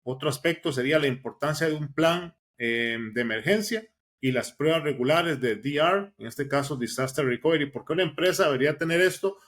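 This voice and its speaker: A man's voice: fairly high pitch, brisk, fairly bright tone. A man hosting a podcast, welcoming listeners enthusiastically with frequent "eh" fillers. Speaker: podcast host. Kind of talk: casual podcast chat.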